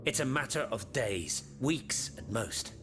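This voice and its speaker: Statesman, authoritative, measured oratory, medium pitch, background music. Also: animated, fairly bright tone. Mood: grave, resolute. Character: grave, authoritative eighteenth-century French statesman. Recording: music behind the voice.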